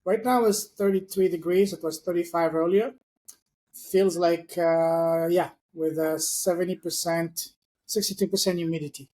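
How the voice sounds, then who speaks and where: A man's voice: high voice, steady tone, moderate pace, warm tone. A man who grew up in France, a guest speaking English as an acquired language, telling his story earnestly with frequent fillers on a video call. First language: not English. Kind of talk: online interview.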